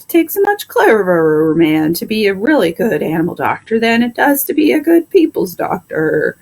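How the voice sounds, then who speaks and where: A woman voicing a plain-spoken, indignant male plow horse with drawn-out, emphatic complaints in a storybook. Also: mid-range voice, a little mellow, pitched above the performer's own voice. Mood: scornful.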